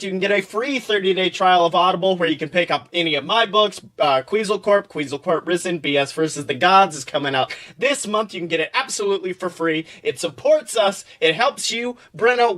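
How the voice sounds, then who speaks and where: Excitable, bright-voiced male podcaster. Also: high-pitched. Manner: excitable, giggly, playful, profane. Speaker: podcast co-host and author. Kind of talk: casual comedic banter.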